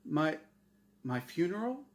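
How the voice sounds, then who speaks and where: A man's voice: fairly high pitch, big pitch swings, slow, pitched above the performer's own voice. A man voicing an anxious, bewildered dead man in a story reading, hesitant and questioning.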